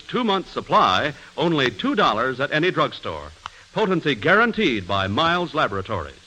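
A man's voice: fairly high pitch, sharp tone. An American man reading a 1940s radio advertisement as an emphatic, persuasive announcer, on an old AM recording. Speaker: commercial announcer. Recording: noisy vintage AM radio broadcast.

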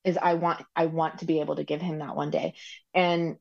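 A woman's voice: low voice, little pitch movement, fairly quick, gravelly, a little mellow, muffled recording. A woman guest on a podcast interview, talking in a rambling way with frequent ums and likes. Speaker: guest, recipient parent. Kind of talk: conversational interview.

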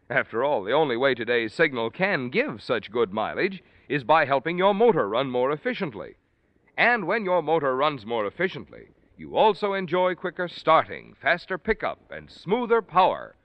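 A man's voice: mid-range voice, dry recording. A man announcing scripted sponsor messages on 1940s radio with a polished, emphatic sales delivery. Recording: dull, dry vintage radio recording. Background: music.

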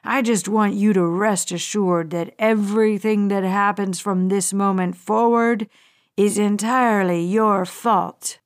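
A woman voicing a menacing male hijacker who speaks in a low, cold, threatening way. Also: steady tone, a little slow, lightly acted.

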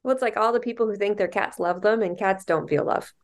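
A woman's voice: mid-range voice, quick pace. A woman co-hosting a book podcast who talks in a thoughtful, meandering, self-aware way. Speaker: podcast co-host. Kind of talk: casual book discussion.

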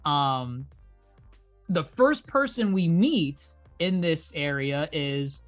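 A podcast co-host in casual chat, talkative and quick to laugh, restarting sentences as thoughts pile up. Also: mid-range voice, lively intonation, soft background music. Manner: chatty, laughs often, trails into restarts.

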